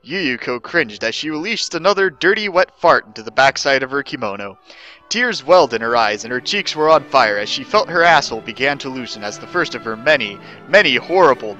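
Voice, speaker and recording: A man's voice: mid-range voice, sharp tone, rough recording. A man giving a mock-serious dramatic reading of comic fan fiction as its narrator.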